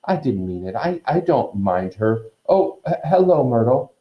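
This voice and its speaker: A man's voice: mid-range voice, sing-song, measured pace, echoey room, muffled recording. A man voicing an anxious, knowing schoolgirl with an earnest, flustered manner in a storybook reading. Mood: frantic. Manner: earnest, flustered, falsely bright. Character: bright, anxious schoolgirl, knowing and eager to placate.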